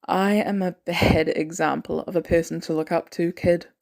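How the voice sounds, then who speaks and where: A woman voicing a weary, guilt-ridden male former assassin in an audio drama, terse and hesitant, with dry, protective gruffness. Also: fairly low pitch, steady tone, normal speed, darkish tone. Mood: protective, resigned.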